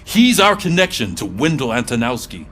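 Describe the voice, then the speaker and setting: A man's voice: mid-range voice, big pitch swings, moderate pace, fairly bright tone. An actor playing a no-nonsense male police sergeant in a video game, businesslike and authoritative. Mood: stern, urgent. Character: no-nonsense police sergeant briefing his squad.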